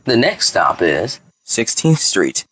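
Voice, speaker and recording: A man's voice: mid-range voice, big pitch swings, slow, pushed and bright, husky, no echo, rough recording. A man reading scripted subway announcements in a formal way, in segmented phrases.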